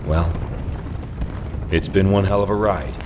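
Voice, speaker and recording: A man's voice: fairly even intonation, a little slow, heavy background noise. A man playing a heroic, grave scientist leader in a comic dub, earnest and urgent. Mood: grave, desperate. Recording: loud rumbling battle effects behind.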